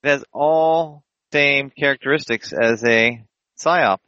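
A man's voice: mid-range voice, slow pace, pushed and bright, no echo, highs cut off. A man hosting a casual internet radio show, talking in a rambling, opinionated way. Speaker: podcast host. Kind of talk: casual online radio chat.

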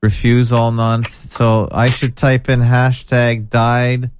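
A man's voice: mid-range voice, monotone, slow pace, clean tone, no room sound. A man hosting a podcast with callers, chatting casually, joking and reading posts aloud from his screen. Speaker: podcast host. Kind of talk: casual call-in chat.

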